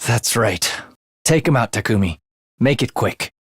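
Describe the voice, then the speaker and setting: A man's voice: medium pitch, animated, measured pace, husky, dry recording, studio quality. A man voicing an eerie, bloodthirsty young male student in a video game, with a sinister, theatrical manner that savors morbid details. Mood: matter-of-fact. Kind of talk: video game character voice acting.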